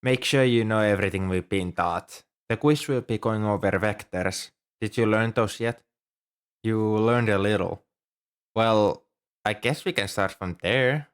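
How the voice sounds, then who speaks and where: Shy, low-voiced young bookish student played by a man. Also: a little slow, clear, resonant tone, close to an everyday voice. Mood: patient, helpful. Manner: hesitant, softly apologetic, helpful. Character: shy, earnest, bookish male student librarian. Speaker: amateur audio roleplay performer. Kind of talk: ASMR roleplay, scripted one-sided dialogue.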